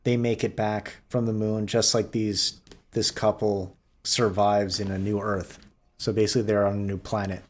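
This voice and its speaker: A man's voice: fairly low pitch, flat delivery, moderate pace. A man co-hosting a podcast, talking in a casual, conversational way. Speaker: podcast co-host.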